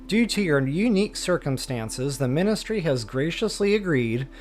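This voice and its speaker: A man's voice: a little high, lively intonation, moderate pace, darkish tone, soft background music. A man hosting a game stream, chatting casually to viewers in an informal, self-deprecating, rambling way. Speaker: host of a video game walkthrough stream.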